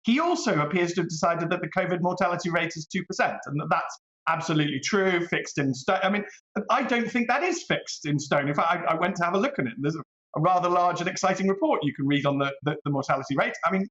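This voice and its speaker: Higher-pitched British man in an echoey room. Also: lively intonation, quick pace, fairly bright tone. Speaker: barrister interviewed as a guest commentator. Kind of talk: opinionated current-affairs interview. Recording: echoey room, slightly dull sound.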